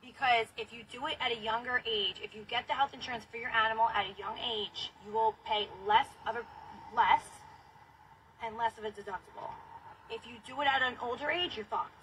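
A woman's voice: on the high side, steady tone, fairly quick, a bit bright, rough recording. A woman in her thirties chatting casually and bluntly, with plenty of swearing, on a livestream from a car. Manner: blunt, profane. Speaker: livestream host. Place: inside a car. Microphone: phone at arm's length. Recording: car interior with noise.

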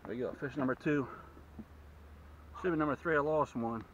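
A man in his forties talks casually on a small boat on an open lake, caught by a camera mounted a few feet away. The sound is dull and muffled. Steady wind noise runs under his voice. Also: mid-range voice, normal speed, far from the mic. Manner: excited, talking to himself while handling fish. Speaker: fishing channel host. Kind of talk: casual fishing vlog narration. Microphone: action camera mounted on the boat.

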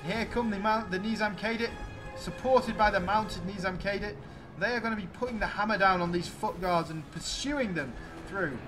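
High-pitched man commentating a game battle. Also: moderate pace, over music. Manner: exclamatory, addressing viewers. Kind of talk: excited play-by-play game commentary.

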